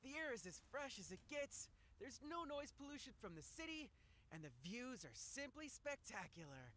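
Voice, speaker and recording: A woman's voice: high-pitched, big pitch swings, normal speed, a bit bright, slight background noise. A woman voicing a loyal, upbeat young gang member in a video game. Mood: rapturous.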